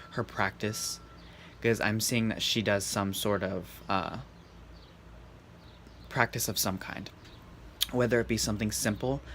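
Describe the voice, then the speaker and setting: A man giving a casual tarot reading in a calm, serene, monotone way. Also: fairly low pitch. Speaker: tarot reader. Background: faint background noise.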